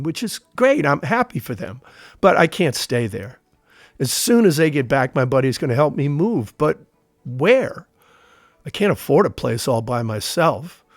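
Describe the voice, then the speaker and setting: An actor playing a nervous, guarded army veteran who works as a painter, speaking tersely and reluctantly in an audio drama. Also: male voice, a little high, no room sound, studio quality.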